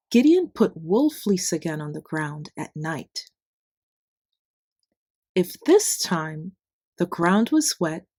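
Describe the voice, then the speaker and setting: Slow, expressive woman storyteller. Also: lowish voice, dry recording, studio quality. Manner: deliberate, simple phrasing with expressive emphasis for children. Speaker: children's Bible story narrator. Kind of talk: scripted read-aloud bedtime story narration.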